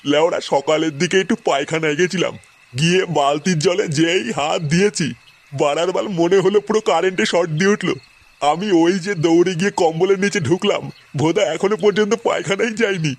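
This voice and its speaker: A man's voice: sits high, fairly quick. A man voicing a crude, bossy older village man in a comedy cartoon, swearing emphatically. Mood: chatty, grumbling. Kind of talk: foul-mouthed comedy cartoon dialogue.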